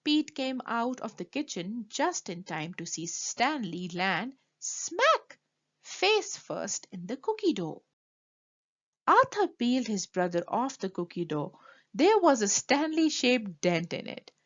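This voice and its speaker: A woman reading a children's story aloud in an expressive, emphatic way. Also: a little high, slow, dull audio. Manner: animated, emphatic delivery for children.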